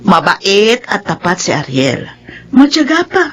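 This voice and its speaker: An actress playing a playful, meddling, caring Filipino aunt with a husky voice and animated delivery in a radio drama. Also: female voice, medium pitch, a little slow. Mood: coaxing, concerned. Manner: animated, teasing and coaxing.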